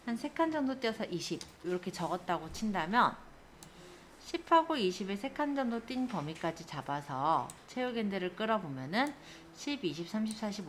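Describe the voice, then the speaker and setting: Animated woman teaching software, some background noise. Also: mid-range voice. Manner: explanatory, checks in with short tag questions. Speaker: computer skills instructor. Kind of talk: step-by-step spreadsheet software lesson.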